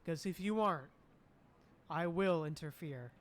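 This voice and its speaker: A woman's voice: deep voice, measured pace. A woman giving casual live gameplay commentary, with encouraging interjections and repeated phrases. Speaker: streamer reacting to a game. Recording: faint game music behind.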